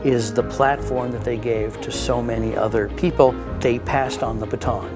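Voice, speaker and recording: A man's voice: mid-range voice, steady tone, moderate pace, over music. A man who teaches, offering explanatory commentary in a documentary interview.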